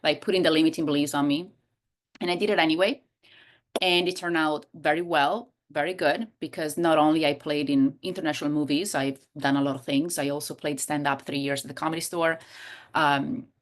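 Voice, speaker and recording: A woman's voice: deep voice, steady tone, brisk. A woman in her thirties from Italy with an Italian accent, talking expressively as an interview guest and sometimes searching for words. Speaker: guest, coach and hypnotherapist. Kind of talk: casual interview conversation.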